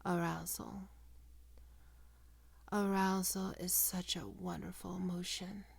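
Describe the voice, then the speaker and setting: A woman playing a possessive, predatory female vampire in an ASMR roleplay, coaxing and cooing in a breathy voice that often drops into whispers. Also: fairly low pitch, little pitch movement, full character voice. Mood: seductive, coaxing. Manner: slow, cooing, condescending endearments.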